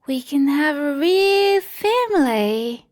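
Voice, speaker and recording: Woman reading as young storybook boys, high, eager and pleading. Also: animated, takes it slowly, darkish tone.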